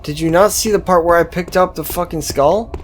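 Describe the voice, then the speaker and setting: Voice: mid-range voice, a little fast, a little hiss or hum. A game commentator talking casually and off the cuff, in a sweary, sardonic, offhand manner. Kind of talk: casual, improvised gameplay commentary.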